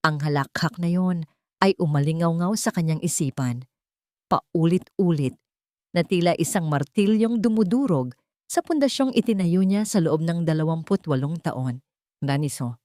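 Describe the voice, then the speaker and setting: Brief: woman storyteller, lower voice, dry close sound, measured and dramatic. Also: a little mellow, dry recording. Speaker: narrator. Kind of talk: scripted romance story narration.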